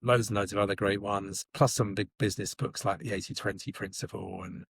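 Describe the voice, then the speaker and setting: Hesitant male coach, low voice. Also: steady tone, a little fast, creaky, husky, no room sound, clean studio sound. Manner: hesitant, lots of uh and restarts. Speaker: coach and publisher. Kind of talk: casual conversation.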